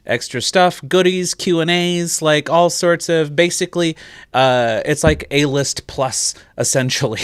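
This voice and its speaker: Man, theatre presenter, higher pitch, clear smooth voice, casual, upbeat but hesitant, dry sound. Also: measured pace, no room sound. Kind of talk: casual closing announcement.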